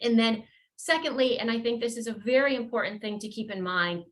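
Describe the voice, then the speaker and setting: A woman attorney presenting a professional webinar, explaining points in a measured way with occasional fillers and restarts. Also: fairly high pitch.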